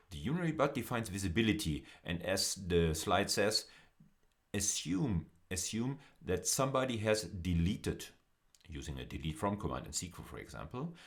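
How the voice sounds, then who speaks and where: A man lecturing in an explanatory style, speaking deliberately and often repeating or rephrasing phrases for emphasis. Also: low voice. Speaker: university lecturer.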